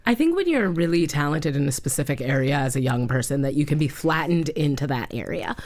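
Low-voiced woman asking thoughtful questions. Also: lively intonation, a little fast, a little mellow. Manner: thoughtful, probing questions with fillers. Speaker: interviewer and writing teacher. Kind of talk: casual interview questions.

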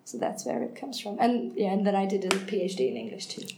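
A woman's voice: mid-range voice, sing-song, fast talker. A woman from Hungary, speaking English as a guest in an unscripted conversation, thoughtful and often correcting herself. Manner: thoughtful, self-correcting, frequent hesitations. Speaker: head of English department, guest. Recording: echoey room, faint noise.

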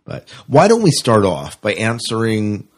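A man's voice: on the low side, wildly swooping pitch, a little slow. A man co-hosting a sci-fi fan podcast, chatting casually and wandering into asides. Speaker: podcast co-host.

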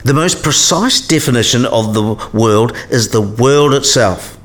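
An actor playing an eccentric, kindly old philosophy professor in a radio play, musing and didactic. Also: male voice, medium pitch, measured pace, fairly bright tone, close to an everyday voice. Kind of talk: scripted radio play dialogue.

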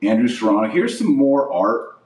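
A man's voice: mid-range voice, very animated, moderate pace, darkish tone, far from the mic. A man giving opinionated religious commentary as a podcast host, indignant and preachy, leaning hard on stressed words. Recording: roomy echo, voice off the mic.

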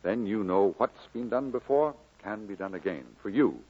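Kindly preacher, husky lively delivery, firm encouragement, thin vintage radio drama sound. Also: male voice, on the low side. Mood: encouraging. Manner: measured, exhorting. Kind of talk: 1940s radio acting. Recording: narrow, phone-like old radio recording.

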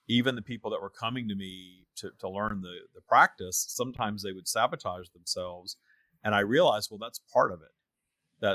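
Guy hosting a spiritual chat, earnest and reflective, punchy bright voice, really dry close sound. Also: on the low side, dry recording. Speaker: podcast host and teacher of a spiritual practice. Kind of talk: conversational spiritual discussion.

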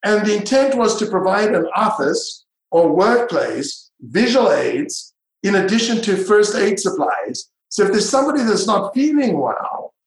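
Middle-aged male entrepreneur guest, emphatic and repetitive, somewhat high-pitched, roomy echo. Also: very animated. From South Africa, raised in Israel. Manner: emphatic, repetitive, drawn-out phrasing. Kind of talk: interview conversation. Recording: echoey room.

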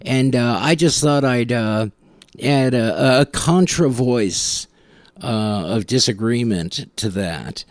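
A man's voice: mid-range voice, expressive, takes it slowly, no room sound. A man hosting a music show, telling casual anecdotes in a wry, self-deprecating way. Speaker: podcast host and musician.

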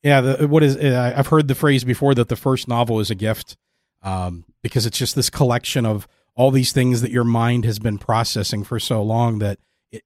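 A man with an American accent talking as a podcast host in a relaxed interview style. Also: medium pitch, dry recording, clean studio sound.

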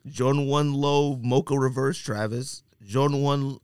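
A man's voice: mid-range voice, steady tone, a little slow, a little mellow, clean tone, dry recording, studio quality. A man co-hosting a casual podcast, talking in slangy, teasing, opinionated group banter. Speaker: sneaker podcast co-host.

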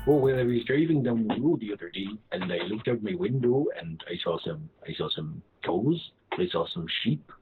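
Raspy male voice, low, silly comic ramble with playful accent switches, tinny phone-line sound. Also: animated, normal speed, few pauses, over music.

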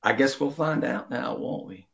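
A man's voice: mid-range voice, animated, normal speed, gravelly, fairly bright tone. A man voicing a jealous, devious male scientist villain in a children's story, taunting and gloating. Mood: smug.

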